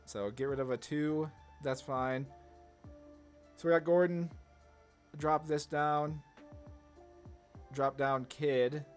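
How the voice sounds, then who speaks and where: Casual man narrating card gameplay, music behind. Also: mid-range voice. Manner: informal, rambling, slangy. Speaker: gaming content creator. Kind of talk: casual card game commentary, thinking aloud. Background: background music.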